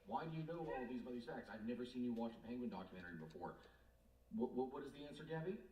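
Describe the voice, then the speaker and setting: A man's voice: mid-range voice, animated, quick pace, mellow, far from the mic, slight background noise. A man chatting casually about his wife, incredulous and rambling. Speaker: husband, streamer. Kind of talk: casual chat. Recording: echoey room, off the mic.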